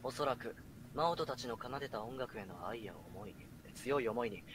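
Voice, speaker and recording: A man's voice: medium pitch, moderate pace, very noisy, close to an everyday voice. A man playing an earnest, brash young hero in an amateur voice drama rehearsal. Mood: earnest, resolute.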